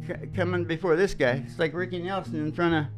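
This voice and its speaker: A man's voice: a little high, steady tone, fast talker. A man chatting casually as host of his own music show, rambling, jokey and self-deprecating. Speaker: singer-songwriter and radio show host. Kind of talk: casual rambling chat between country songs.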